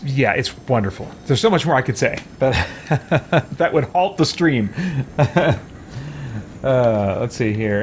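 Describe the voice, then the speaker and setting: A man streaming a game with casual commentary and chat replies, thoughtful and often pausing mid-sentence. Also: mid-range voice. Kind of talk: casual livestream gameplay commentary, reading chat.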